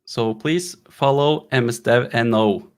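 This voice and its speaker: A man hosting a developer meetup over a video call, introducing the speaker in a loose, halting way with fillers. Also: medium pitch, clear, resonant tone. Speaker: meetup host.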